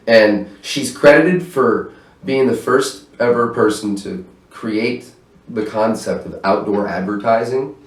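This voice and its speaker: A man talking loosely in a casual interview, swearing freely and laughing often, in his own apartment room. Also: lowish voice. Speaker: stage manager interviewed as guest. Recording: roomy echo, voice far from mic.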